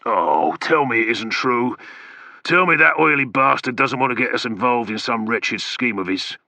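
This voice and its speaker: A man voicing a gruff, blunt veteran male soldier in an audiobook, growling and brusque. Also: mid-range voice, expressive, moderate pace, bright, forceful tone, dry recording, recorded from a distance, heavily acted. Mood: sarcastic.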